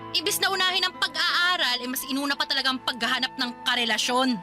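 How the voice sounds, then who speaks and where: An actress playing a sharp-tongued, nagging older sister in her late twenties in a Filipino radio drama. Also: high-pitched, quick pace, faint music behind. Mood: scolding. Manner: sarcastic, nagging, confrontational.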